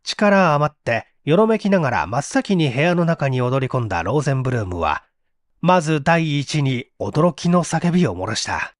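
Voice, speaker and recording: A man's voice: fairly high pitch, big pitch swings, moderate pace, no echo, clean studio sound. A man narrating a mystery story from a script in a theatrical, suspenseful manner. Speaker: audiobook narrator, stage actor.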